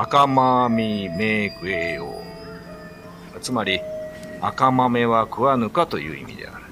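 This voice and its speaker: A low-voiced grave, commanding village man who tells a ghost tale in a radio drama. His voice sits under prominent background music. He sounds solemn and authoritative. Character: grave narrator and commanding male village leader. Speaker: narrator and actor. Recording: voice over loud background music.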